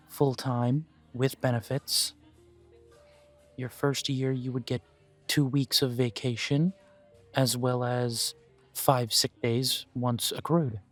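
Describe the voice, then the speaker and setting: A man voicing a polite male elf who owns a coffee shop, interviewing the listener for a job in a calm, formal way. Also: medium pitch. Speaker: shop manager interviewing an applicant. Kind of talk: audio roleplay, talking to the listener.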